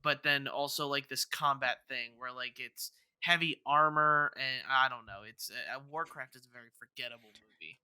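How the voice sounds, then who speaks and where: A man co-hosting a podcast in casual chat, often halting and restarting his phrases. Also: medium pitch, pushed and bright, clear, resonant tone, no room sound. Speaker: podcast co-host.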